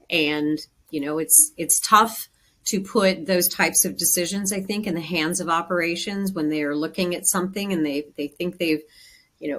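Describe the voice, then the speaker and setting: A woman with a notably low voice answers a question on a webinar panel. She talks in a loose, conversational way, with plenty of fillers as she works through her points. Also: fairly bright tone.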